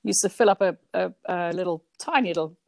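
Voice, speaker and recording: A middle-aged woman from Kenya, a guest telling childhood stories in a relaxed, lively interview with frequent laughs. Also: lowish voice, a little fast, gravelly, no room sound, clean studio sound. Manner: lively, laughs often, many restarts. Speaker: guest, company founder. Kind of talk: casual conversational interview.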